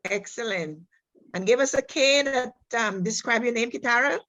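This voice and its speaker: Encouraging woman host, higher voice. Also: moderate pace, a bit bright, rough recording. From Dominica. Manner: encouraging, praises each speaker by name. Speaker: host of a youth group session. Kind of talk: online group meeting.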